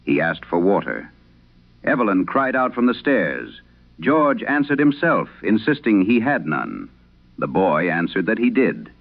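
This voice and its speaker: Man acting a deep, gravelly old exorcist priest. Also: very animated, narrow, tinny audio, exaggerated voice. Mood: grave, haunted. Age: older. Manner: solemn, deliberate, dramatic. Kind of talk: scripted horror radio story narration.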